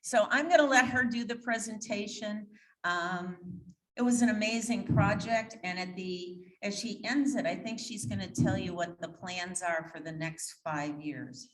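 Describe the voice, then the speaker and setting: A woman's voice: mid-range voice, measured pace. A woman introducing a guest speaker in a chatty, self-deprecating way. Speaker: club member introducing speaker. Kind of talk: introduction speech. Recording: echoey room.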